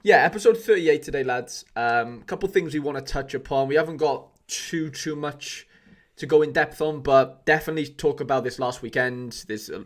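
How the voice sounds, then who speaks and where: A man hosting a casual podcast, upbeat and teasing his co-host. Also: medium pitch.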